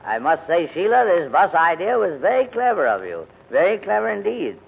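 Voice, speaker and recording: A man acting an elderly, flustered English gentleman in 1940s radio drama, with a fussy, formal, sometimes stammering delivery. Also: high-pitched, very animated, normal speed, gravelly, slightly rough, exaggerated voice. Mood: narrating, composed. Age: older. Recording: tinny, noisy old 1940s radio recording.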